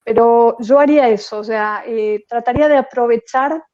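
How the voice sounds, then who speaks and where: A woman's voice: on the high side, moderate pace, a little mellow. Hesitant woman on a muffled call. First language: not French. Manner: thoughtful, many hesitations and fillers. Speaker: panelist, French teacher. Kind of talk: online panel answer.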